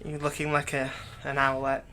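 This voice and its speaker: A man playing a doting, lightly teasing fantasy villain captor in an ASMR roleplay, speaking softly and tenderly, coaxing the listener without hurry. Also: medium pitch, completely flat delivery, close to an everyday voice. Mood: gentle, reassuring. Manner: soft, coaxing, unhurried, tender.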